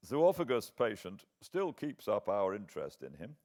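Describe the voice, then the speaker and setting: Deep-voiced British man, expressive narrator. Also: moderate pace. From Britain. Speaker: audiobook narrator. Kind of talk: dramatic literary narration.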